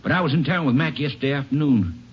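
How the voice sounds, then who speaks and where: An actor playing a nervous young farmhand with a hurried drawl in a 1950s radio drama. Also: male voice, mid-range voice, lively intonation, slightly rough. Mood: uneasy. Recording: muffled vintage radio recording.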